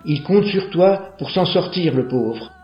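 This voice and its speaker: A man voicing a young caravan-dwelling neighbour who turns into an accusing conscience, high-pitched and declaiming. The sound is echoey and dull, with faint music under it. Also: expressive, a little slow. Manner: accusing, declamatory moral speech.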